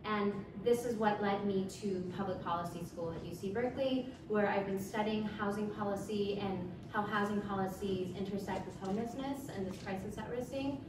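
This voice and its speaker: A woman's voice: medium pitch, normal speed, hardly any pauses. A young woman from Los Angeles giving an earnest public talk as a guest speaker, a little nervous at first. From Los Angeles, California. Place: event hall. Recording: echoey hall, from far off, background noise.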